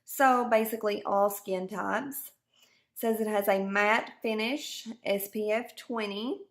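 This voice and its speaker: Hoarse-voiced woman beauty vlogger, chatty, walks through each step, mid-pitched voice. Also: fairly even intonation, unhurried. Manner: chatty, explains each step as she goes. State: hoarse from a cold. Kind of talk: casual product review to camera.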